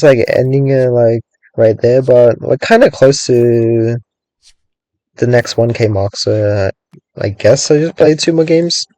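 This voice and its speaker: Young man, casual gaming commentary, rambling, raspy, mellow tone, dry close sound. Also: mid-range voice, dry recording, low-quality recording. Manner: rambling, thinks aloud, many "like"s and hedges. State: raspy from long talking. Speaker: gaming streamer.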